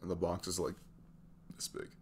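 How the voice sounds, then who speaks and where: A man playing a tired, sweet, easygoing working single dad in a soft-spoken, hesitant, rambling ASMR roleplay chat. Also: deep voice, completely flat delivery, normal speed, slight background noise, natural voice. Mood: casual, amused.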